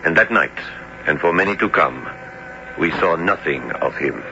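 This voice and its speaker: An actor playing a learned, sober doctor and professor who recounts a sea voyage in formal, measured radio drama style. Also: male voice, deep voice, lively intonation. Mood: solemn storytelling. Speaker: narrator and character. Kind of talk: dramatic radio narration and acting. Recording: noisy, dull old radio drama recording.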